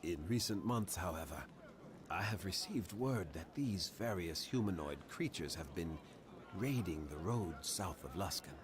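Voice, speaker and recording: An actor playing a grave, formal man who gives out quests in a fantasy game, with measured, old-fashioned phrasing. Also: sits low, expressive, noisy background. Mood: measured, advising. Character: grave, formal man directing a hunt for cultists. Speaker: quest-giving game character. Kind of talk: scripted fantasy game dialogue.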